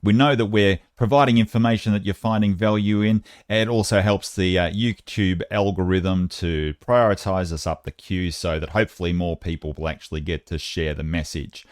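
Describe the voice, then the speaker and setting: A man's voice: low-pitched, steady tone, normal speed, hardly any pauses, darkish tone, no echo, clean studio sound. A man with an Australian accent hosting an informal explanatory online video, talking conversationally and straight to his viewers. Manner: conversational, addresses viewers directly. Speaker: YouTube channel host and presenter.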